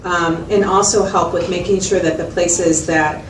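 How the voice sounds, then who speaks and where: A woman's voice: fairly low pitch, steady tone, unhurried, lightly acted. A woman playing a supportive mental health clinician, explaining and reflecting back in a conversational clinical interview. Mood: supportive, explanatory. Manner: reflective, explanatory, checking in with the listener. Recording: distant mic, roomy echo, loud background noise.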